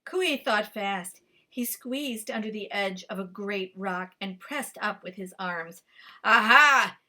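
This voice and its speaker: Bright-voiced woman reading a picture book. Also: mid-range voice, takes it slowly. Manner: lively, expressive storytelling.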